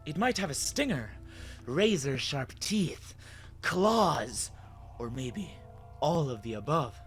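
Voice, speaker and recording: A man narrating a first-person story in an expressive, dramatic way with emphatic pauses. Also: mid-range voice.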